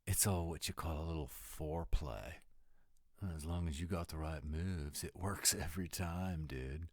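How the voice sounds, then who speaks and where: A laid-back American slacker dude, voiced by a man for an audio roleplay. His voice is low and unhurried, with a goofy, dim-witted surfer drawl that rambles along. The sound is close and dry. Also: no echo, heavily acted. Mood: teasing.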